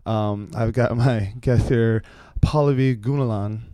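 A man's voice: mid-range voice, fairly even intonation, measured pace, dark tone, no echo. Joking male podcast host, dry close sound. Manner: profane, joking, rambling. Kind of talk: casual comedic chat.

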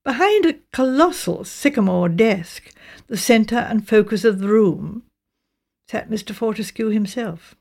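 Creaky, higher-pitched British woman narrating. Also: animated, measured pace, darkish tone. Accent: British Received Pronunciation. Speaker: audiobook narrator. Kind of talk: scripted narration of a mystery novel.

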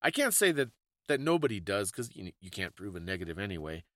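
A man's voice: low voice, big pitch swings, fast talker, fairly bright tone, no room sound, studio quality. A man hosting a podcast, explaining things in a casual, thoughtful conversational way. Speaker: podcast host.

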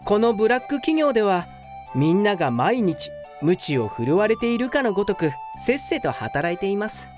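A woman's voice: medium pitch, very animated, moderate pace, a little mellow, faint music behind, natural voice. A woman playing a lazy, smug young male office worker in a comedic radio drama, with an earnest, matter-of-fact manner. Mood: earnest narrating.

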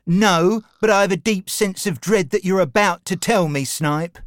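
A woman's voice: fairly low pitch, normal speed, no echo, clean studio sound, natural voice. A woman voicing a proud, easily wounded little male dog who splutters huffy protests in a dramatised children's audiobook. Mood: exasperated.

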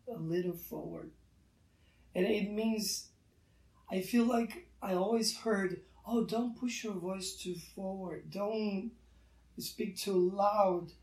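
Slow, halting man in a roomy space. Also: sits high, darkish tone, recorded from a distance. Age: 30s. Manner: halting, many restarts, earnest. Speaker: guest artist. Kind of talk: reflective interview talk.

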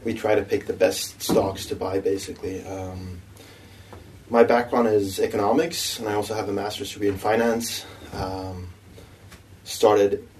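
A man's voice: on the low side, a little mellow, distant mic, slight background noise. A young man with a Norwegian accent informally introducing his career as a podcast guest. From Norway. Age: young adult. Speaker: podcast guest, investment analyst.

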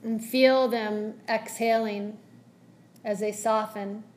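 A woman leading a guided meditation as a yoga teacher, speaking gently and soothingly in measured phrases with long pauses. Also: medium pitch, fairly even intonation, a little hiss or hum.

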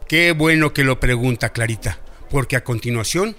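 A Mexican doctor acted by a man in an educational radio drama. His voice is mid-pitched with a bright, crisp edge. He explains in a respectful, didactic way, like a friendly teacher. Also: moderate pace, natural voice. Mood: instructive. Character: friendly instructive male doctor teaching village women.

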